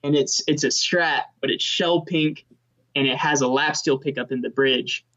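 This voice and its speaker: A young man, a guitarist, chatting casually as an interview guest in a relaxed, easygoing way, often saying man and dude. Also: moderate pace, highs cut off. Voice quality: high voice. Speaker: touring session guitarist, guest.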